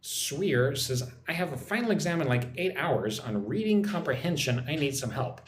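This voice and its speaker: A man's voice: on the high side, expressive, normal speed, roomy sound, a little hiss or hum. An American man hosting a casual English lesson livestream, chatting with viewers and answering their comments by name. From United States. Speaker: English teacher. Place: home in Vietnam.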